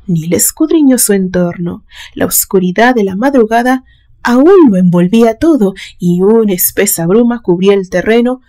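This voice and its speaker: A woman reading a literary suspense story aloud in a measured, dramatic storytelling manner. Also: medium pitch, lively intonation, warm tone. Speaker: narrator reading a horror story.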